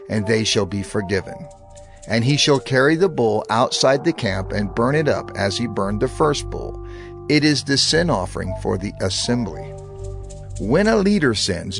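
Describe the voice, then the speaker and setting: A male narrator with a gravelly edge reads scripture in a steady, reverent way. His pitch moves expressively through each phrase. Music plays clearly underneath the narration. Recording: narration over background music.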